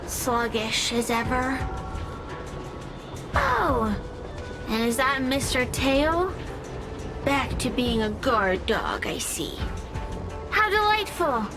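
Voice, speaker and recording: A woman's voice: high-pitched, sing-song, takes it slowly, long pauses, exaggerated voice. A woman voicing a scheming, gloating female spirit villain in a video game, with a haughty, theatrical delivery. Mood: mocking, smug. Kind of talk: scripted video game voice acting. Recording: voice under prominent music.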